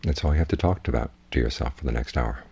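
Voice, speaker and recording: Very deep, husky, dark-voiced man. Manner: measured, plainspoken instruction. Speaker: Buddhist monk, meditation teacher. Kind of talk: guided meditation talk.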